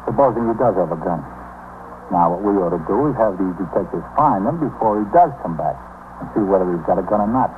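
Fast-talking, low-voiced American police sergeant. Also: male voice, expressive. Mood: insistent. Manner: brisk, pressing, matter-of-fact questioning. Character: brisk, insistent male New York police sergeant. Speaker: actor in a radio police drama. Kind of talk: 1950s radio drama acting. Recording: tinny, hissy 1950s radio recording.

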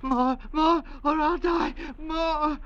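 An actor playing a delirious, dying castaway with desperate, melodramatic delivery in 1940s radio drama style. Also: male voice, very high-pitched, steady tone, takes it slowly, fairly bright tone, dull audio, exaggerated voice. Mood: begging. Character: pleading, delirious, dying male castaway, vengeful. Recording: noisy vintage radio recording.

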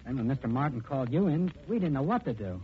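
A man's voice: medium pitch, lively intonation, fast, close to an everyday voice. An actor playing a deferential, anxious young boatman, polite and earnest in 1940s radio style. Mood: earnest, worried. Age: young adult. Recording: dull, band-limited, noisy 1940s radio recording.

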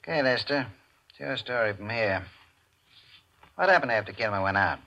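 A skeptical police inspector with a sharp, forceful voice. He fires clipped, brusque questions in the manner of 1940s radio crime drama. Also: on the low side, lively intonation, normal speed, muffled recording. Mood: brusque, probing.

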